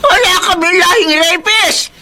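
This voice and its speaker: A woman's voice: very high voice, a little slow, bright, forceful tone, slight background noise, full character voice. A woman playing an outraged older matriarch in a Filipino radio drama, fiery and scolding. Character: outraged older family matriarch defending her kin.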